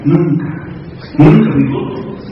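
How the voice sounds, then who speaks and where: A man's voice: a little high, animated, takes it slowly. Male lecturer, Tzeltal-accented Spanish, native Tzeltal speaker; earnest storyteller, lo-fi recording with noise behind. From Mexico. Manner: earnest, storytelling, rhetorical questions. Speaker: lecturer, former bilingual teacher. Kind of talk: lecture to an audience. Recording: echoey hall from far off, dull lo-fi, ambient noise.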